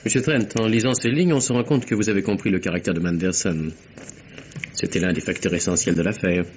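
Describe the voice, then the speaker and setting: An actor playing an earnest secretary in a vintage French radio mystery, speaking in a measured, formal and precise way. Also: male voice, low voice, lightly acted. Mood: grave, composed. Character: earnest male secretary recounting a deadly affair. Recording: dull vintage radio recording, faint hiss.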